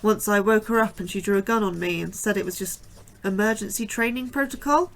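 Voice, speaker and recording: A woman playing an anxious young female astrobiologist, narrating her diary with wry, deadpan understatement. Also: mid-range voice, a little fast, natural voice, poor audio. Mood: dry.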